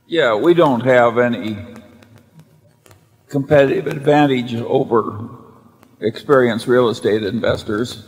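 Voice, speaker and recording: A man's voice: mid-range voice, measured pace, long pauses. Older man, dry and matter-of-fact, talking into a table mic, reverb from a big hall. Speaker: company vice chairman. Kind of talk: unscripted answer at shareholder meeting. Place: large hall on stage at table.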